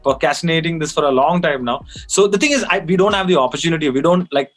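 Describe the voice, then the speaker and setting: A man's voice: on the high side, quick pace, faint music behind. A man who plays in a band, talking in an earnest, rambling way with many restarts. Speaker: band musician. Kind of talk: casual conversation.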